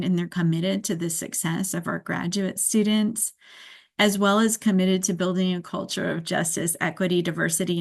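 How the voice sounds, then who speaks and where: A woman program director hosting an online open house, giving a measured, explanatory presentation to prospective students. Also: mid-range voice. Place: video call.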